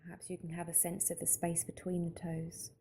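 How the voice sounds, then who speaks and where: A woman leads a slow guided meditation in a very soft, dark, mellow voice. Her delivery is calm and unhurried, staying very even in tone, with pauses between gentle instructions. A light background noise sits behind her. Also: fairly low pitch.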